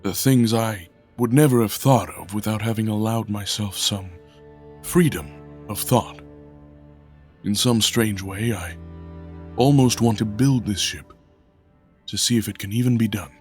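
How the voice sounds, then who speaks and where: A man acting an earnest, brooding visionary inventor in an audio drama, speaking in a deliberate, formal way. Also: lowish voice, darkish tone, faint music behind, lightly acted. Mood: pensive, resolute. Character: earnest, brooding visionary male ship designer.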